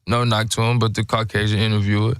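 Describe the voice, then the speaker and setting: A man's voice: on the low side, little pitch movement, quick pace, smooth, no echo, clean studio sound. A man co-hosting a casual podcast, talking in loose slang and often checking in with tag questions. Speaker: podcast co-host. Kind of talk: casual group podcast discussion.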